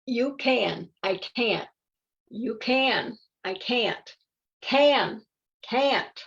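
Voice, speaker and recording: A woman's voice: fairly high pitch, lively intonation, drawn-out words, vocal fry, fairly bright tone, heavily acted. An older woman voicing an impatient, boastful yet anxious young male caterpillar in a children's picture book, eager and exclamatory. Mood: frustrated. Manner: eager, self-coaching, exclamatory. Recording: dull lo-fi audio.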